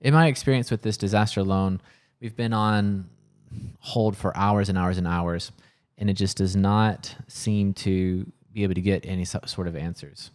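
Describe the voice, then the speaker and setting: A man's voice: sits low, fairly even intonation, normal speed, darkish tone. A man talking casually and earnestly to camera in a worried, frustrated manner, sometimes searching for words. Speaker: coffee shop owner filming a personal vlog.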